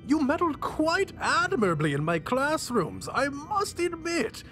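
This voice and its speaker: Pompous, wildly swooping, high-pitched game villain. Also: male voice, wildly swooping pitch, sharp tone, faint music behind, exaggerated voice. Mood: smug delight. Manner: pompous, grandiose, mock-polite, drawn-out theatrical delivery. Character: flamboyant ancient male mastermind villain, gleefully theatrical. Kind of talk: theatrical video game villain acting.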